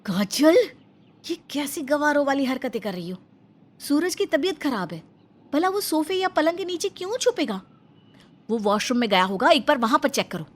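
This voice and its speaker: Woman acting an exasperated, high-pitched city sister-in-law. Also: big pitch swings, moderate pace. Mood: scolding. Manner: scolding, bossy, emphatic. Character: exasperated, scornful urban working elder sister-in-law. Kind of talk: animated Hindi family story acting.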